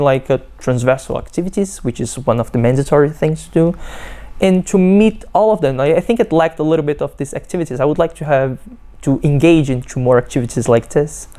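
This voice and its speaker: Young Brazilian man, halting. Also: on the high side, warm tone. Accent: Brazilian Portuguese. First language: Portuguese. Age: young adult. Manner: halting, many fillers and restarts. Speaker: PhD student. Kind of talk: testimonial interview.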